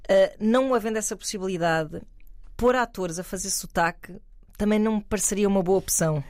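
A woman's voice: mid-range voice, fairly quick, a little mellow, dry recording, clean studio sound. A woman, talking through her view in a casual panel discussion, thoughtful and hedging with many fillers. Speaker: panel commentator.

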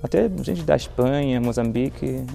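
A man with a Brazilian accent talking about his theatre group in relaxed interview answers, musing and restarting often. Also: medium pitch, moderate pace, gravelly, faint music behind. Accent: Brazilian Portuguese.

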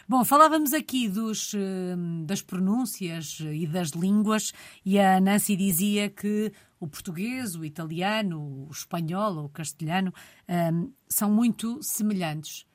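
A woman's voice: mid-range voice, no room sound. Dry, close woman interviewer. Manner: measured, framing questions at length. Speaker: radio interviewer. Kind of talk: interview hosting.

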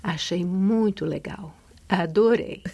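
A woman's voice: lowish voice, takes it slowly, vocal fry, mellow, husky. A middle-aged woman from Poland, a native Polish speaker with a European Portuguese accent, talking thoughtfully as a radio interview guest with hesitant restarts.

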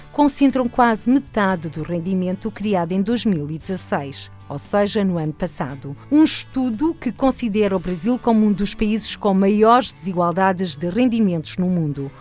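A woman presents in a measured, formal read. Light music sits faintly beneath her scripted narration. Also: mid-range voice, a little mellow.

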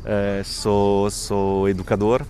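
A man's voice: lowish voice, monotone, mellow, a little hiss or hum. A man with an Italian accent, an Italian native speaker, talking hesitantly with many restarts as a community worker interviewed outdoors. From Italy. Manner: hesitant, halting with restarts and fillers. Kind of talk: street interview. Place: outdoors in a residential neighbourhood.

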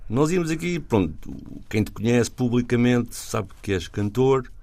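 A man's voice: medium pitch, lively intonation, normal speed, darkish tone. A male radio host interviewing a guest in a conversational way, asking questions with casual fillers.

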